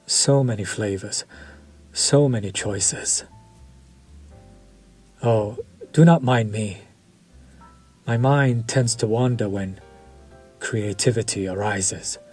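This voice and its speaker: Vampire chef, played by a man: unhurried, husky, formal and seductive, over faint music. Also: on the low side, a little mellow. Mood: suave. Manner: polished, formal and flirtatious, lingering over words. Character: suave vampire chef, courteous, quietly predatory. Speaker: voice actor in audio roleplay. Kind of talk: scripted audio roleplay.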